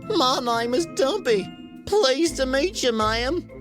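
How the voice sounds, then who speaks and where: Woman as a high, folksy, kindly toy dump truck. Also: lively intonation, slow pace. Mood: courtly, friendly. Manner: folksy, courtly, earnest. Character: kind, polite, protective male toy dump truck. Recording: music behind the voice.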